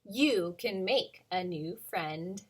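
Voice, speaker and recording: A woman in her thirties, a preschool teacher, reading a picture book aloud to children in a gentle, sing-song way with many ums. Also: fairly low pitch, animated, takes it slowly, slightly rough.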